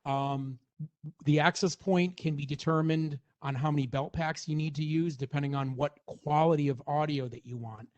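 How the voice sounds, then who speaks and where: A man's voice: fairly high pitch, fairly even intonation, moderate pace, darkish tone, lo-fi sound. A man presenting a product walkthrough in an informal, explanatory way, as in a semi-scripted technical demonstration.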